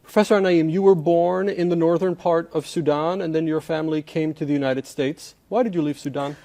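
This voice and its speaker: A man's voice: on the high side, fairly even intonation, fairly quick, dark tone. A man hosting a formal interview as a professor, asking articulate questions and humming along as he listens.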